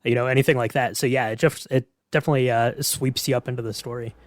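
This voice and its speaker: A man's voice: mid-range voice, steady tone, fast talker. A man hosting a podcast, asking questions and chatting in a casual interview style. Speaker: podcast host.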